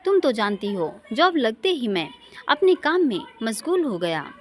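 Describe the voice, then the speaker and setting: A woman's voice: a little high, very animated, unhurried, soft background music. A woman voicing a wistful young man who confides his love story in an earnest, informal way. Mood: confiding.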